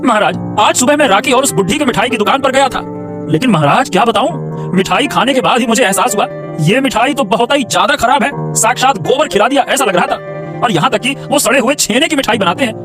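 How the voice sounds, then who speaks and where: A man's voice: very high voice, animated, a little fast, over music. A man voicing a scheming, indignant royal minister in a cartoon, scolding and repeating himself with petty bluster. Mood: fawning, sly. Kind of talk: exaggerated Hindi cartoon voice acting.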